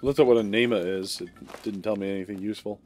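A man's voice: on the low side, steady tone, fast, a little mellow, slight background noise. A man streaming a horror game, chatting casually with a friend and muttering to himself with sighs. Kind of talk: casual gaming chat.

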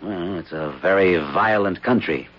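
Level-headed government agent, low husky voice, muffled old radio. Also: male voice, a little slow. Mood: calm. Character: calm government investigator. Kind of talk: 1970s radio drama acting. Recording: dull 1970s radio broadcast.

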